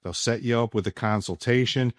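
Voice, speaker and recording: A man hosting a casual sports podcast, speaking emphatically with deliberate pauses. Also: medium pitch, dry recording, studio quality. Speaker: podcast host. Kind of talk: casual sports podcast talk and personal ad read.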